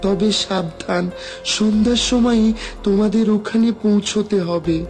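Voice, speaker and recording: A woman voicing a gentle magical talking pond. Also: medium pitch, measured pace, close to an everyday voice. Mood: cautioning. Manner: reassuring, instructing. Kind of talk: cartoon fairy tale dialogue. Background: background music.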